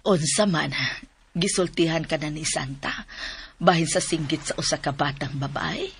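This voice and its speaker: A woman with a Cebuano accent voicing a gentle, worried middle-aged mother in a radio drama, pleading and expressive. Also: sits low, normal speed, gravelly, a little hiss or hum.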